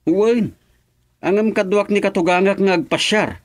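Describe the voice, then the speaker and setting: A man's voice: sits high, normal speed, no echo, clean studio sound, lightly acted. A man playing a cheerful, lighthearted son-in-law in a comic radio drama, chuckling often. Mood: playful.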